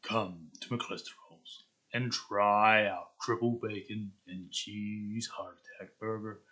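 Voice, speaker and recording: Man doing a fast-food commercial announcer, exaggerated drawl, bright sharp tone, slow radio sales pitch. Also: steady tone, heavily acted. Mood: selling. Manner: drawn-out, exaggerated. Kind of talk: mock radio advertisement.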